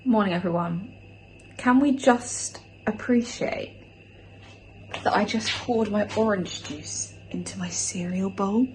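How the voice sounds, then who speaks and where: A young British woman in her twenties vlogs in a chatty, self-deprecating way, with a medium-pitched voice. She talks casually to the camera and repeats phrases for emphasis. There is faint everyday noise behind her. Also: slow pace, darkish tone, rough recording. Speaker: vlogger. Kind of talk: casual vlog chat to camera.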